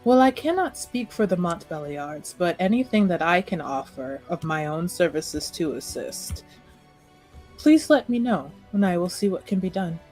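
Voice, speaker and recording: A woman's voice: on the low side, animated, normal speed, darkish tone, soft background music, lightly acted, poor audio. A woman playing a gracious, diplomatic noblewoman in a tabletop game, earnest and courteous, with formal, careful wording. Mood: earnest, dutiful. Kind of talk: tabletop roleplay dialogue.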